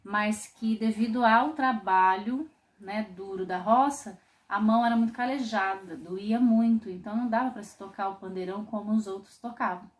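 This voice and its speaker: A woman from Brazil teaches a popular singing class in a voice of ordinary pitch for a woman. She explains thoughtfully, stopping often to rephrase herself. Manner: thoughtful, explanatory, with frequent pauses and restarts. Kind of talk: online music lesson.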